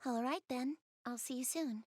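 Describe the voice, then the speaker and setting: A woman playing a gentle, shy, bookish young girl in video game dialogue, soft-spoken and earnest. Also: high-pitched, moderate pace, a little hiss or hum, lightly acted. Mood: eager, polite. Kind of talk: video game cutscene dialogue, addressing the listener.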